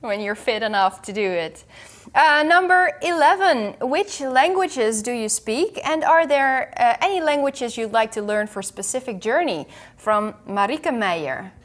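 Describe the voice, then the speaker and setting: A woman's voice: on the high side, lively intonation, unhurried. Woman hosting, reading questions out. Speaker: interview host reading viewer questions. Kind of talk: casual interview hosting. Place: indoor studio desk.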